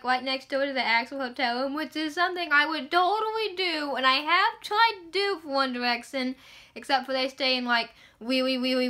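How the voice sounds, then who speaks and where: A woman's voice: high-pitched, sharp tone. A young woman chatting casually as a book vlogger, with a gushing, emphatic fangirl delivery and informal, slangy wording. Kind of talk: casual book chat to camera.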